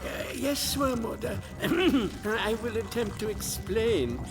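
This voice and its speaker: A man playing an officious demon underling in a radio drama, speaking in a halting, fussy, stiffly formal manner. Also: sits high, lively intonation, noisy background. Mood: nervous, formal.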